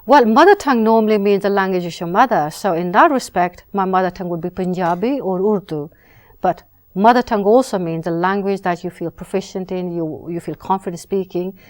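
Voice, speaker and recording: A woman novelist from Britain, answering interview questions in a measured, reflective way with some restarts. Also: mid-range voice.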